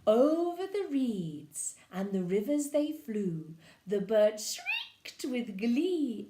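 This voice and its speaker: Woman reading a kids book, big swoopy expression, slow and easy, roomy echo. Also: on the high side. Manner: animated, lively expression. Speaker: storyteller. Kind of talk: reading a children's picture book aloud. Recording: echoey room.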